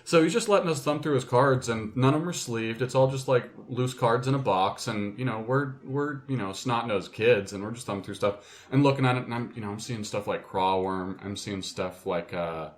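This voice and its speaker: A man's voice: medium pitch, normal speed, hardly any pauses. A man co-hosting a podcast, chatting casually and telling stories in a conversational way. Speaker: podcast co-host. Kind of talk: casual chat, storytelling.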